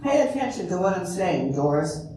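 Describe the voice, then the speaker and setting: An older woman in an amateur readers' theater playing a patient, probing lawyer who questions a client in a measured, halting way. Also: lowish voice, sing-song. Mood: patient, firm. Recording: distant, very echoey, noisy room.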